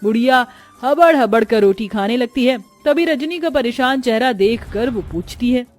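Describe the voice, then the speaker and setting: A female storyteller with a high voice reads scripted cartoon narration. Music plays underneath her. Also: expressive, normal speed.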